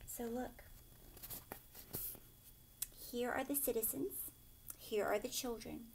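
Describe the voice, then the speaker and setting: A woman in her thirties reading a children's picture book aloud expressively, pausing to explain and ask questions like a teacher. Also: fairly high pitch, steady tone, a little mellow, a little hiss or hum. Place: furnished living room, indoors.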